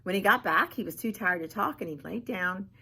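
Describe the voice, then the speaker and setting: A woman reading aloud to children as a guest, expressive and adding playful asides. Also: mid-range voice, fairly quick, vocal fry, breathy edge. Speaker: guest storybook reader.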